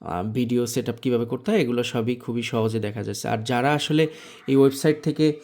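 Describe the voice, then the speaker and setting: A man with a Bangladeshi accent presenting an informal software tutorial, explaining things step by step. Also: mid-range voice, steady tone, a little fast. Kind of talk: informal screen tutorial narration.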